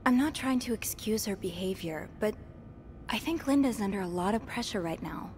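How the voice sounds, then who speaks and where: A woman voicing a gentle, curious hotel maid turned amateur sleuth in a mystery video game. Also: medium pitch, expressive, normal speed, slight background noise, close to an everyday voice. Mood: empathetic.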